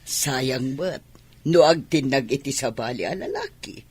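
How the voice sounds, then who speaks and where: Husky, slow-speaking admonishing mother played by an actress. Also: very deep voice, lively intonation, darkish tone, full character voice. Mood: gently reproachful. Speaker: radio drama voice actor. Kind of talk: Ilocano radio drama acting.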